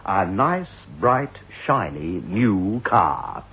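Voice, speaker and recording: An actor playing an excitable, plucky young husband in an old-fashioned radio ghost drama, blustering and exclaiming. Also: male voice, low-pitched, extremely slow, very noisy, exaggerated voice. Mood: pleased. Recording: muffled, tinny radio recording.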